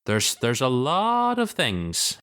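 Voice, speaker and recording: A man's voice: medium pitch, wildly swooping pitch, slow pace, bright, forceful tone, smooth. A man chatting casually as a podcast panelist, talking informally and thinking aloud.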